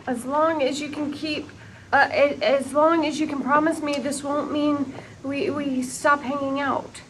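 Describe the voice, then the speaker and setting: An emotional, caring young mother. Her voice is high and her delivery slow and heartfelt. Faint noise sits underneath. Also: steady tone, a bit bright, poor audio. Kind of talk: sitcom table read.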